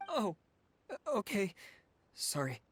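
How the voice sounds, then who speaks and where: A man's voice: high-pitched, wildly swooping pitch, slow pace, a bit bright, slight background noise, heavily acted. An actor playing a timid, meticulous male civil servant in an anime-style video game, hesitant, apologetic and over-precise. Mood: flustered.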